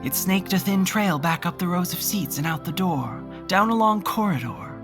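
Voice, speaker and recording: Low-voiced woman narrator over music. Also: lively intonation, normal speed. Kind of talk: scripted dramatic narration. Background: music under the voice.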